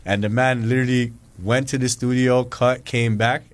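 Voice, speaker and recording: A man's voice: medium pitch, level tone, moderate pace. A young man hosting a podcast, talking in a laid-back, slangy way and saying "you know" a lot. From Canada. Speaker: podcast host. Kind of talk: casual interview chat.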